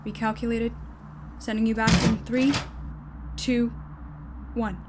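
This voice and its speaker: Actress as curt no-nonsense time machine lady, highish voice, audio drama, noisy behind her. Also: flat delivery, slow pace. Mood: brisk, commanding. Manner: curt, bossy. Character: brisk, no-nonsense woman operating a time machine, a mother. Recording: clearly noisy background.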